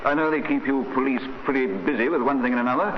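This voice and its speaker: An actor playing a charming, evasive British murder suspect who poses as a group captain, in a vintage radio drama. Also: male voice, mid-range voice, fast talker, close to an everyday voice. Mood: breezy, affable. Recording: dull, noisy vintage radio recording.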